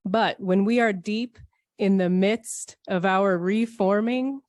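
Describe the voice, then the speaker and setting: A woman delivering a scripted, reflective church sermon in measured, poetic phrases with deliberate phrasing. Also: mid-range voice, a little mellow, dry recording, clean studio sound. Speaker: speaker at a church service.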